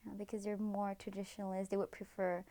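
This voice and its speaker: A woman's voice: medium pitch, completely flat delivery, quick pace, mellow. A young woman from Malaysia, a student, speaking earnestly about her relationship in an interview and dropping to a whisper for asides. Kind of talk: personal testimony in a documentary interview.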